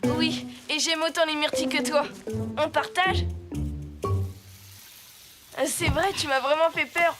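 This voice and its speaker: A woman's voice: high voice, fairly even intonation, a little slow, pauses often. A woman voicing a plucky, kind young boy in a cartoon, speaking in an earnest, spirited way. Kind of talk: animated cartoon dialogue. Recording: music behind the voice.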